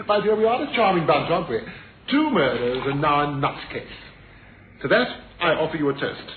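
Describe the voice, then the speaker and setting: An actor playing an urbane, mocking murderer in a suspense radio drama, with a smug, darkly amused manner. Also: male voice, a little high, lightly acted. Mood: amused, sardonic. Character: urbane, mocking male murderer who feigned blindness. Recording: noisy phone-like vintage radio recording.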